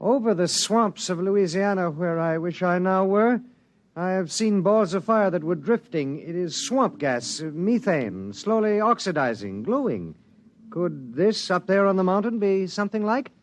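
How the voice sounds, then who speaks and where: A male actor playing a fussy, indolent, learned gentleman in an old radio drama, with precise, formal, languidly pompous speech. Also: high voice, lively intonation, a little slow, a little hiss or hum. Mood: thoughtful.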